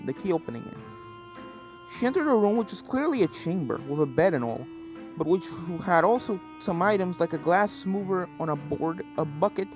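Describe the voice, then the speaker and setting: Fan fiction reader, scripted story narration, mid-range pitch, faint game music underneath. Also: darkish tone, rough recording.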